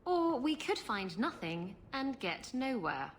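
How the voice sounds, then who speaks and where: A woman's voice: a little high, sing-song, slow pace, slight background noise. A woman playing a brash, scheming female adventurer in a video game, with a breezy, cocky manner.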